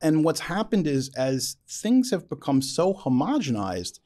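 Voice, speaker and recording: A man's voice: on the high side, big pitch swings, measured pace, a little mellow. A man hosting a casual interview, talking enthusiastically and repeating phrases for emphasis. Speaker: podcast host.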